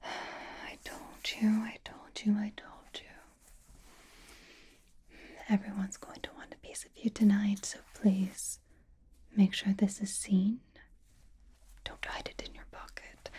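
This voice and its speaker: A woman playing a seductive, possessive female vampire in an ASMR roleplay, teasing and doting in a soft, breathy whisper. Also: medium pitch, level tone, lightly acted. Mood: fond, scolding. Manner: teasing, doting, slow and deliberate.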